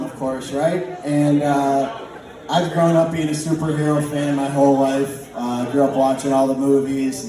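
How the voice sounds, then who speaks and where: Young man telling stand-up stories, very echoey. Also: medium pitch. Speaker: amateur stand-up storyteller. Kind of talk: rambling anecdotal stand-up comedy storytelling. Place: large echoey indoor space with live audience. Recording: echoey room from far off, audience noise. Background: audience laughter and chatter.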